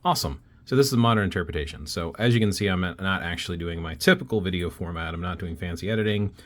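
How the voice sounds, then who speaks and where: A man's voice: low voice, fast. A man teaching a self-taught craft in a casual step-by-step tutorial, talking while he draws and thinking aloud. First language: not Icelandic. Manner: relaxed, talks while drawing, thinking aloud with fillers.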